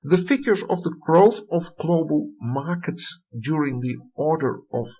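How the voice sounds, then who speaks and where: A middle-aged man with a Dutch accent lectures on history, reading from a prepared text. His voice is husky and sits fairly high for a man. He leans on key words with deliberate emphasis. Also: expressive, dark tone, low-quality recording. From Netherlands. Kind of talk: recorded academic lecture.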